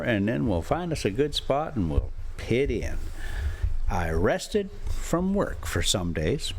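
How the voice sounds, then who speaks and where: A man hosting a travel vlog from inside a motorhome, rambling casually and reading a story aloud in a halting, folksy way. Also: medium pitch. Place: inside a motorhome while driving.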